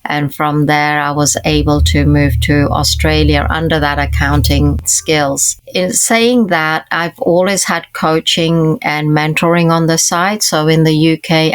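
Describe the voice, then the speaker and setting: Interview guest: woman in her fifties, low steady voice, smooth and close, earnest storyteller. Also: measured pace, no echo. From Kenya, raised in the UK. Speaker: guest, leadership coach.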